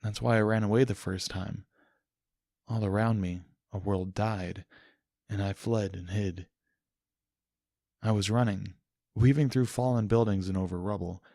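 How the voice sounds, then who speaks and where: A man's voice: fairly low pitch, steady tone, unhurried, pauses often, dark tone, no echo. A man narrating a first-person story as a dramatic audiobook reading.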